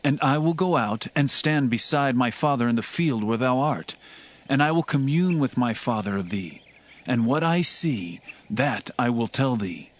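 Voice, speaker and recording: An actor playing a loyal, earnest young prince in a dramatized scripture reading, speaking in measured archaic diction. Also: male voice, medium pitch, animated, natural voice. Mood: urgent, caring.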